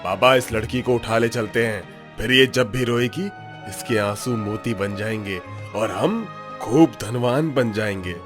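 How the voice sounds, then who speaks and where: A man voicing a greedy, bullying village chief's son in a cartoon, speaking in a gruff, threatening way. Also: fairly low pitch, measured pace, natural voice. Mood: scheming. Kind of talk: cartoon dubbing. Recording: faint background music.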